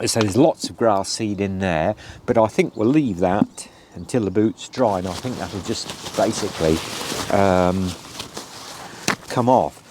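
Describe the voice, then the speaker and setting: A man's voice: fairly low pitch, unhurried, background noise. A middle-aged British man with a stuffed-up nose from hay fever, giving a rambling casual how-to talk with many "um"s, recorded outdoors. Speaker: vlogger.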